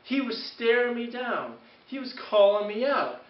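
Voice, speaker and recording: A man performing a comedic tall tale as a storyteller, with a theatrical, animated delivery. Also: extremely high pitch, a little slow. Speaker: comic storyteller. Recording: echoey room, distant mic, muffled.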